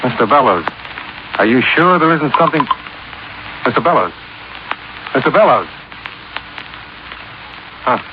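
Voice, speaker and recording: An actor playing a wry, watchful insurance investigator in a 1950s style radio detective drama. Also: male voice, mid-range voice, very animated, unhurried, long pauses. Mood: puzzled. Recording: noisy, dull old radio recording.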